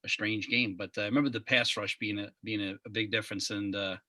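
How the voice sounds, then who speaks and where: A man with a Long Island accent hosting a casual sports podcast, friendly and quick to laugh. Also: on the low side, no room sound. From Long Island, New York. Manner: relaxed, friendly, laughs often. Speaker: podcast host.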